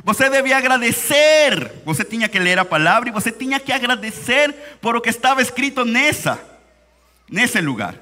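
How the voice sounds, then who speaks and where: A Venezuelan man preaches in a second language, his accent plain as he leans on repeated phrases and slows for weight. His voice sits high and bright. Long reverb from the church hall trails each line. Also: sing-song. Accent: Venezuelan Spanish. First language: Spanish. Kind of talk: sermon.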